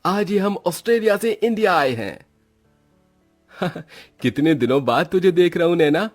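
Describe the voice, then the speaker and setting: A cheerful young man freshly home from abroad in a family tale, acted by a man. His pitch is raised and his manner breezy and friendly, with faint music behind. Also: expressive, moderate pace.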